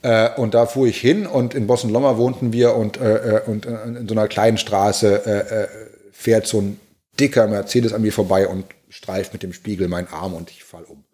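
A man in his forties from Germany, a pastor, thoughtfully telling personal stories, halting with many restarts and repeated words. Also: on the low side, steady tone, warm tone. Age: 40s. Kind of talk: reflective personal storytelling.

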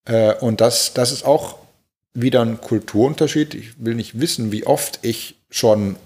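Man, forties, from Germany, pastor, reflective storytelling, halting, stumbling restarts, mid-pitched voice. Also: a little mellow. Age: 40s. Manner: halting, many restarts and repeated words.

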